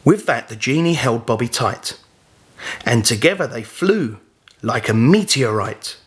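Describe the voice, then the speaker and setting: Male children's book narrator, mid-pitched, unhurried rhyming storybook read. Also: expressive.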